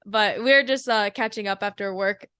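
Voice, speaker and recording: A woman's voice: medium pitch, normal speed, fairly bright tone, clean tone, no echo, studio quality. A woman in her twenties, from South Korea, co-hosting a podcast in a giggly, laid-back chat and swearing casually. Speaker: podcast co-host.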